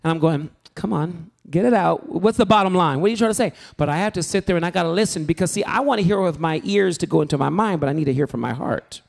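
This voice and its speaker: A man teaching a sermon-preparation workshop to a live group in a church hall, animated and joking, calling out to the room. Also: on the high side, fast talker, vocal fry, few pauses. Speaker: preaching instructor.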